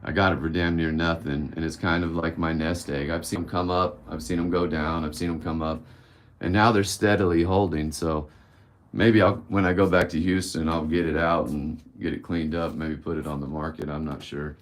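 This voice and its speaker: A man's voice: a bass voice, steady tone, normal speed. A man around fifty hosting a casual live-stream Q&A, candid and blunt, rambling and swearing casually. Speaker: livestream host answering viewer questions.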